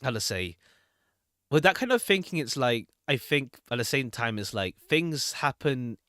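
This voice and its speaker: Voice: mid-range voice, big pitch swings, normal speed, fairly bright tone, no room sound, clean studio sound. A streamer chatting casually and thinking aloud in a hesitant, rambling way during a game read-through. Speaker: streamer reading a visual novel aloud. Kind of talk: casual Let's Play chat and read-aloud. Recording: jazzy game music behind the voice. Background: jazzy retro game music.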